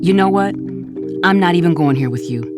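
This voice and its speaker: A woman's voice: on the low side, expressive, a little fast, lightly acted. A woman playing a guarded, exasperated middle-aged mother in an audio drama, firm and emphatic. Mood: indignant. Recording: music under the voice.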